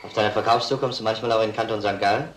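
Guy playing methodical cop grilling a suspect, lowish voice, radio crime play, echoey old sound. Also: fairly even intonation, a little hiss or hum, natural voice. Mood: insistent. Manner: measured, insistent questioning. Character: probing, methodical male police detective interrogating a suspect. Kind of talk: crime radio drama acting.

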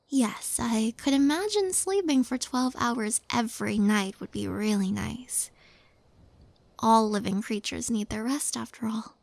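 A shy young witch, played by a woman in an intimate audio roleplay. Her voice is slightly high and creaky, often sinking to a near-whisper. She is sweet and hesitant, recorded close and very dry. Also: darkish tone, no room sound, full character voice. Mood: gently curious.

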